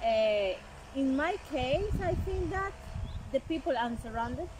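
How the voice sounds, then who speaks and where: A woman's voice: high-pitched, lively intonation, slow, background noise. A woman from Colombia with a Colombian Spanish accent, Spanish as her first language, chatting casually as an interview guest, cheerful and laughing often, with many fillers.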